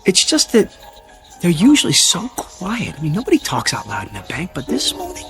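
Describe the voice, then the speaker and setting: Radio drama: a nervous stammering clerk, lively higher voice. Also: male voice, moderate pace, music in the background. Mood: hesitant. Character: timid, flustered male bank clerk.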